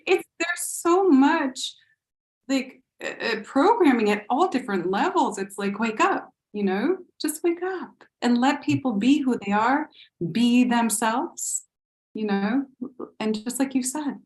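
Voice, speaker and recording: An American woman telling lively stories on a panel, often breaking into laughter. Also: high-pitched, measured pace. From United States. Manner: animated, laughs often, storytelling. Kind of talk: casual panel discussion. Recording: echoey room.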